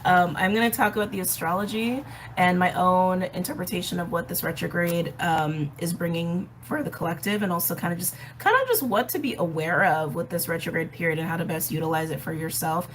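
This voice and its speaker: A woman with a hoarse, raspy voice, host of an astrology channel, explaining the planets in a chatty way with frequent ums. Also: on the low side, a little fast, fairly bright tone, a little hiss or hum, poor audio. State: hoarse, recovering from a respiratory illness. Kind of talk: casual explanatory astrology talk.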